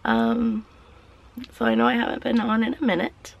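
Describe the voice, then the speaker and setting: A woman vlogging casually while sick, her voice raspy and hoarse, tired and short of breath, with small laughs between sentences. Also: a little high, level tone, normal speed. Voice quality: raspy, weak voice. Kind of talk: casual vlog chat.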